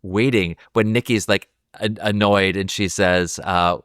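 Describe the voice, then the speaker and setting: Male podcast co-host, fairly low voice, dry sound, amused and emphatic film talk with halting starts. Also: steady tone, a bit bright, smooth, dry recording. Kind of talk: casual film discussion chat.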